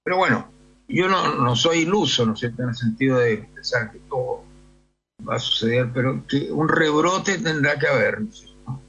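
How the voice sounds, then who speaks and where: An older Chilean man with a husky, rough-edged voice speaks as a priest being interviewed. He talks discursively, checking for agreement as he goes. The recording is a little dull and lo-fi. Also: mid-range voice, lively intonation, normal speed, fairly bright tone. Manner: discursive, often seeks agreement with tag questions. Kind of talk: conversational interview answers.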